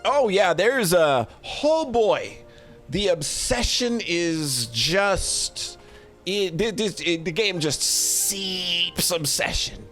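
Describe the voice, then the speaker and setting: A man giving casual, rambling livestream commentary, thinking aloud in an informal, halting way. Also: high voice, expressive, fairly bright tone, faint music behind. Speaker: streamer hosting a tier list of games.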